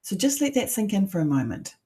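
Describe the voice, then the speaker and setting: A woman's voice: medium pitch, very animated, brisk, mellow. A middle-aged woman with a New Zealand accent, a coach teaching an online masterclass in an emphatic, persuasive way with rhetorical questions.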